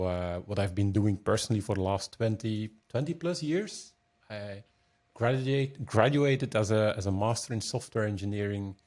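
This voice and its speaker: Podcast guest: Belgian man, 50s, Dutch accent, lowish voice, halting and filler-heavy. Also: a little mellow. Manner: hesitant, many restarts and fillers. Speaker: guest, security consultant. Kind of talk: casual interview chat. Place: home, in the evening.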